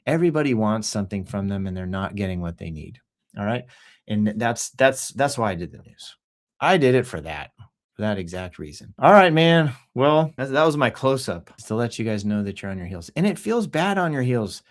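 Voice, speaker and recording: A man's voice: fairly low pitch, big pitch swings, fairly quick. Casual man chatting off the cuff. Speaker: host of a livestream news show. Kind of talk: casual rambling livestream chat. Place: office.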